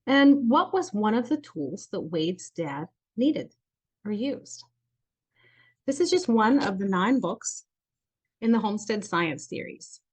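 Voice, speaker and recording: Woman, children's storyteller, mid-range pitch, expressive read-aloud narration, animated delivery. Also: slow.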